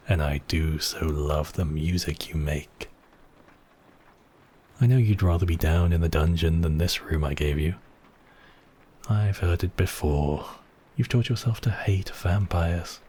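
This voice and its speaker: A man playing a seductive, possessive vampire count in an ASMR roleplay, speaking slowly and teasingly in a husky, breathy voice with whispered phrases. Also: a bass voice, a little mellow, exaggerated voice. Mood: possessive, coaxing. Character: seductive, possessive male vampire count toying with his captive.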